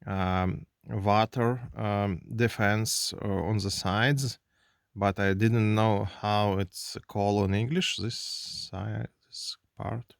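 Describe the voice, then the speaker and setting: A man with a Russian accent reviewing a toy car at his desk, speaking halting English full of fillers and restarts. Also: fairly low pitch, steady tone, vocal fry, no echo, clean studio sound. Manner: halting non-native English with many fillers. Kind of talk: hands-on unboxing review. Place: indoors at a desk.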